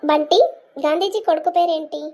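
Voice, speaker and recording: Performer as a squeaky cartoon teacher, very high voice, bossy. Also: fairly even intonation, a little mellow, soft background music. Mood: teasing. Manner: squeaky, quick cartoon delivery.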